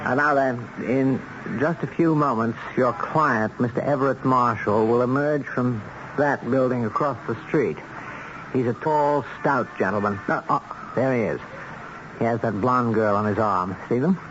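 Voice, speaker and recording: An actor playing a polite, coldly businesslike man who arranges killings, in a radio drama, speaking in a measured, commanding way. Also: medium pitch, natural voice. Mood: calmly commanding. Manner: courteous, measured, calmly commanding. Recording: dull, noisy old radio recording.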